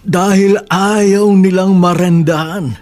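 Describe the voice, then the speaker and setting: High-pitched Filipino father, slow heartfelt delivery, gentle and sorrowful, radio drama. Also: steady tone, soft background music. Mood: wistful, sorrowful. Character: sorrowful devoted father, gentle, long-suffering.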